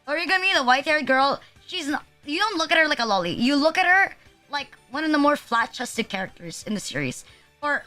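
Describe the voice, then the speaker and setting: A high, bright-toned young woman chats loosely on a livestream, her nose a little stuffed and sniffly. She rambles and jokes at her own expense, dropping swears along the way. Soft music plays faintly behind her. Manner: rambling, casually profane, self-deprecating. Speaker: VTuber streamer. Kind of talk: casual livestream chat.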